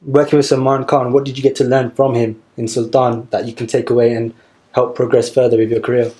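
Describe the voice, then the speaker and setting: Fast-talking mellow male radio interviewer. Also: medium pitch, little pitch movement. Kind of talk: casual question-and-answer interview.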